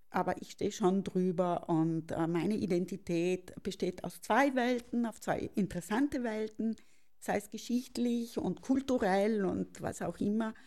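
Middle-aged South Tyrolean woman, creaky voice. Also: medium pitch, warm tone. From South Tyrol, Italy. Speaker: interview guest. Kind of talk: reflective personal interview.

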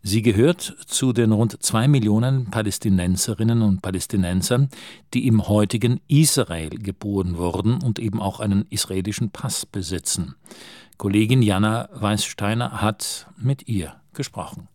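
Low-voiced male news presenter. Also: moderate pace, darkish tone, dry recording, clean studio sound. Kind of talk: scripted news narration.

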